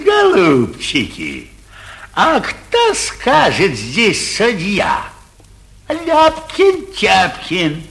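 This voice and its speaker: Fretful bossy small-town mayor, old radio comedy, highish voice, wildly animated. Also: male voice, exaggerated voice. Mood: anxious, mocking. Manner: emphatic, theatrical, exasperated. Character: blustering, anxious provincial town mayor, middle-aged man. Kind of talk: 1940s theatrical radio comedy acting.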